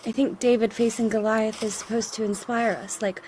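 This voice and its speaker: Woman acting a high-voiced young desert refugee. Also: flat delivery, brisk, a little mellow, a little hiss or hum, lightly acted, low-quality recording. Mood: earnest, reflective. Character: faithful young desert refugee woman, protective, gentle but frightened. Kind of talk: cinematic audio drama acting.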